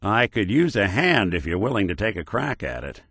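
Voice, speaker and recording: An actor playing a world-weary American robot detective in a video game, with a wry, hesitant, hard-boiled noir delivery. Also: male voice, on the low side, sing-song, normal speed, fairly bright tone, husky, dry recording, studio quality, full character voice. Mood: determined. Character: world-weary synth detective, a machine with an old-time cop's personality.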